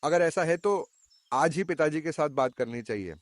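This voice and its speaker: A man's voice: on the high side, animated, moderate pace, dry recording, natural voice. Man acting entitled eldest son, dry sound. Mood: insistent.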